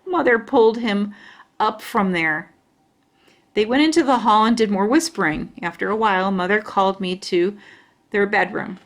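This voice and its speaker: A woman reading a children's storybook aloud in an expressive storytelling style. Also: medium pitch, moderate pace.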